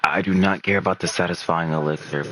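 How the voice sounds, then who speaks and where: A man's voice: low-pitched, fairly even intonation, fast, fairly bright tone, a little hiss or hum. A man casually commentating on his gameplay as a streamer, rambling and muttering to himself. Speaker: game streamer. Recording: game music behind the voice. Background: video game music.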